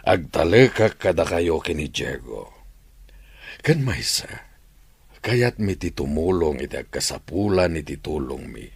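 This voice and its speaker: A man playing a kindly, wealthy older employer in an Ilocano drama, speaking in a measured, deliberate way. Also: a bass voice, gravelly, breathy edge, no room sound, full character voice. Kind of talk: Ilocano audio drama acting.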